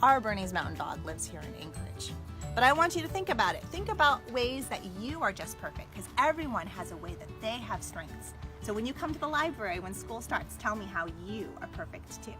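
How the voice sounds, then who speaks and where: An American woman, a school librarian, reading a picture book aloud to young children in an expressive way. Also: medium pitch, fairly quick, music in the background. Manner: animated, expressive delivery aimed at young children. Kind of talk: read-aloud storytelling for children. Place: at home. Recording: noisy home video with background sounds.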